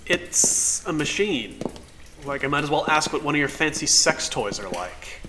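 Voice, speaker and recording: A man playing a skeptical, defensive police officer in a cyberpunk audio drama, with a halting, dismissive way of talking. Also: a little high, very animated, noisy background. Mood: guarded, dry. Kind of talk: naturalistic audio drama acting.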